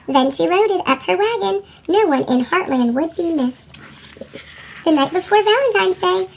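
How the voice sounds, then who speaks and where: Squeaky chipmunk-voiced woman storyteller. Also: lively intonation, normal speed, vocal fry, a little hiss or hum, poor audio. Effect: sped-up chipmunk pitch shift. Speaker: read-aloud storyteller for children. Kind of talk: children's picture book narration.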